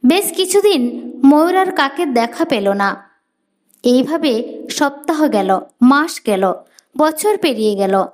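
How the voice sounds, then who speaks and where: A woman's voice: high voice, animated, takes it slowly, long pauses. A woman reading a scripted story as a storyteller.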